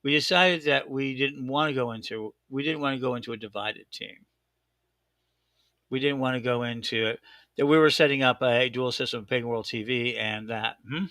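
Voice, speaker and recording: A man with a thick Chicago accent hosting a casual chat show, talking in an excitable, rambling way and correcting himself often. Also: medium pitch, moderate pace, dry recording, clean studio sound. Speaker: host. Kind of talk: casual live-stream chat.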